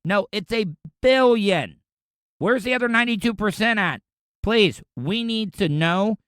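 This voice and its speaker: A sarcastic man from Florida rants through the news on his podcast, rambling and indignant. His voice sits a little high for a man. The sound is dry and close, with no room around it. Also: measured pace, fairly bright tone, clear, resonant tone, dry recording. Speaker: podcast host. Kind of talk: casual ranting commentary.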